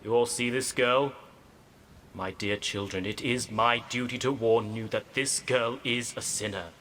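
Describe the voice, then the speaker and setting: A man's voice: mid-range voice, steady tone, a little slow, a bit bright, slight background noise, natural voice. A man playing a pompous, preachy, severe clergyman schoolmaster in an audio drama. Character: stern, sanctimonious clergyman schoolmaster.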